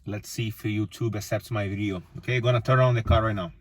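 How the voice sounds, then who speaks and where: A man from Brazil with a Brazilian Portuguese accent, chatting casually and haltingly while he drives, recorded by a phone in the car. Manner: halting, relaxed, many restarts and fillers. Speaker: car vlogger. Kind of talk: casual driving commentary. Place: inside a moving car, windows closed. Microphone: phone mounted on dashboard. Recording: muffled phone audio, loud engine and road rumble. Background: car engine and road noise.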